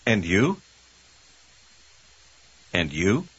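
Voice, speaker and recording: A man speaking short model phrases slowly for a language course. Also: sits low, sing-song, a bit bright, husky. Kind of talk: slow, careful model phrases. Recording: dull lo-fi tape recording.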